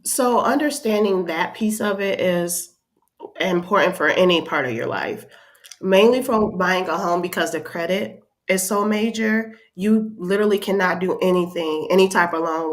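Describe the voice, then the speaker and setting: A woman with a Midwest American accent and a stuffed-up cold voice answers interview questions. Her voice sits on the low side for a woman, and she explains things steadily and kindly, pausing on "um". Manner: friendly, explanatory, with "um" fillers. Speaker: housing counselor, podcast guest. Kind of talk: casual interview talk.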